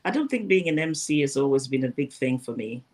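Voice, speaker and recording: A woman, a former news presenter talking through her career at a thoughtful, measured pace in a casual interview. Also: very deep voice, sing-song, a little mellow. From Zimbabwe.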